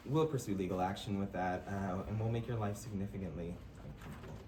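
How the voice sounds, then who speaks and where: A man playing a stiff, halting, officious football team envoy in a live comedy table read. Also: sits low, expressive. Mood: stiffly threatening. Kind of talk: live comedy script reading. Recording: roomy, distant mic, very loud noise.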